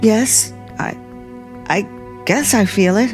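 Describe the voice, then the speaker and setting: An actress playing a timid, polite young aspiring writer, halting and nervous, in 1950s radio suspense style. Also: female voice, medium pitch, a little mellow, faint music behind, natural voice. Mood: frightened, hesitant.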